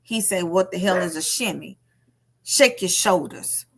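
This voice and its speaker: A woman's voice: fairly low pitch, a little slow, slightly rough. A woman giving casual livestream gossip commentary, telling stories emphatically, acting out quotes and repeating phrases for effect. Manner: animated storytelling, acts out quotes. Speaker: livestream host.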